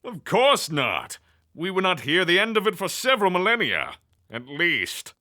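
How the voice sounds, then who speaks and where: Flamboyant god of war, high-pitched, sharp, condescending, theatrical, fantasy audio drama. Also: male voice, huge pitch swings, moderate pace, full character voice. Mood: mocking. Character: flamboyant, haughty male god of fire and war.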